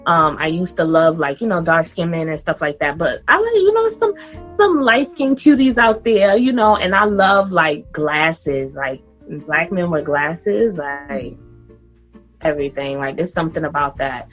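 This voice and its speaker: A woman in her late twenties chatting casually as a podcast guest, animated and laughing, sometimes talking straight to the listeners. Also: sits low, unhurried, faint music behind. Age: 20s.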